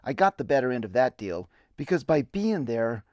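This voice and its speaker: A middle-aged man giving a dramatic reading of his own memoir in deliberate, theatrical storytelling. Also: mid-range voice, very animated, darkish tone, dry recording, studio quality. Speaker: narrator and videographer. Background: music bed.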